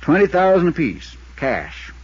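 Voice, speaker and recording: An actor playing a worried, folksy small-town insurance agent with a husky voice in a 1950s radio drama. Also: male voice, on the high side, sing-song, a little slow, no room sound, full character voice. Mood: wry, emphatic. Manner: folksy, colloquial, emphatic. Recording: muffled 1950s radio recording.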